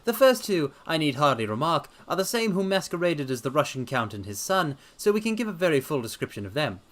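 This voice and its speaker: A man performs a brisk gentleman detective from a storybook mystery. His voice is pitched high and swings up and down with animation. He sounds assured, methodical and courteous. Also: sing-song. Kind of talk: dramatic reading of a detective story.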